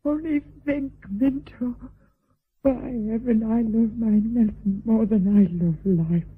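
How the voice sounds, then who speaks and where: A woman's voice: a little high, a little slow, breathy edge. An actress playing a middle-aged, vulgar, hard-drinking lady mistress in a radio drama, declamatory, vain and fearful, lurching between coarse bluntness and maudlin grandeur. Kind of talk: theatrical radio drama acting. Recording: thin, phone-like band-limited radio drama audio.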